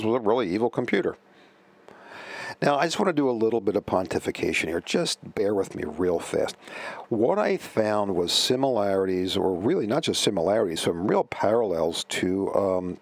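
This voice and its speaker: Chatty middle-aged man, low voice. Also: dry recording. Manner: rambling, chatty, lots of fillers and self-corrections. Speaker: vintage computer channel host. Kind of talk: casual commentary.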